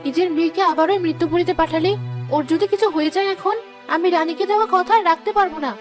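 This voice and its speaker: A woman voicing an anxious, reproachful mother in an animated fairy tale. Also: very high voice, fast. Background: faint background music.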